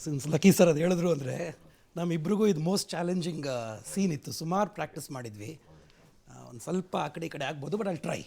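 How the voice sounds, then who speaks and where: Higher-pitched creaky-voiced man. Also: lively intonation, moderate pace, mellow. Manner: mixes English into speech. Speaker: film actor being interviewed. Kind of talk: casual interview chat.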